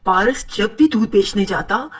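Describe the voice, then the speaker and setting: Husky woman narrating a children's story. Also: medium pitch, animated, a little slow, recorded from a distance.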